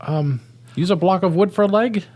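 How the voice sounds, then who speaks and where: A man's voice: high voice, normal speed, a little mellow. A man voicing a bewildered male patient who talks in a polite, fussy way in a comedy podcast sketch. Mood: worried.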